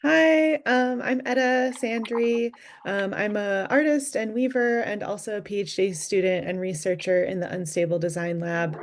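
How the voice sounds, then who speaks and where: A woman's voice: mid-range voice, steady tone, moderate pace, slight background noise. A woman who is a PhD student introduces herself on a video call, speaking hesitantly with many ums. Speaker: PhD student, workshop helper.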